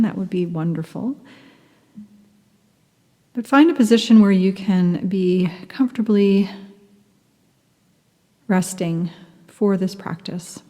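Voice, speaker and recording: A woman guiding a yoga nidra meditation, speaking softly and soothingly, sometimes dropping into a half-whisper. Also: medium pitch, big-room sound. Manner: soft, slow, soothing, half-whispered at times. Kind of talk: guided meditation.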